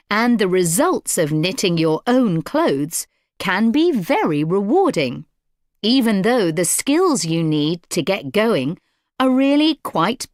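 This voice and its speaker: Unhurried female lecturer. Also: mid-range voice, no room sound, studio quality. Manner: measured, articulate, explanatory. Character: fashion design lecturer addressing a class. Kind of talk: scripted lecture.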